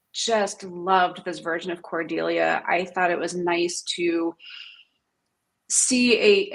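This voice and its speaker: A woman giving her opinions as a panelist in a casual fan discussion. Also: medium pitch.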